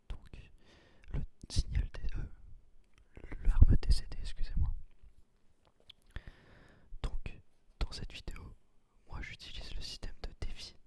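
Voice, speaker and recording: A man whispering ASMR gameplay commentary in a breathy voice, explaining things casually with slang. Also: mellow. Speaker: gaming video creator.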